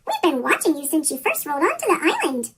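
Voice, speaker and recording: A storybook reader with a chipmunk-pitched effect voicing an odd, playful, grumbling male logging locomotive. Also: wildly swooping pitch, fast, fairly bright tone, full character voice. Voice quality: chipmunk squeak, a bit lower. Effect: sped-up chipmunk pitch shift.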